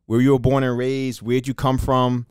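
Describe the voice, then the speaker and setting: A man's voice: mid-range voice, little pitch movement, moderate pace, a little mellow, clean tone, no room sound, studio quality. A man hosting a podcast interview, upbeat and encouraging, with many fillers. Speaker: podcast host. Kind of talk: casual interview.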